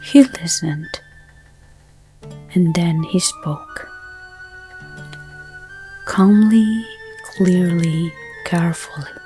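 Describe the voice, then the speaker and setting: A woman narrating a bedtime story in a gentle, soothing, hushed manner, with unhurried phrasing. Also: fairly low pitch, poor audio. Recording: soft music under the voice. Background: background music.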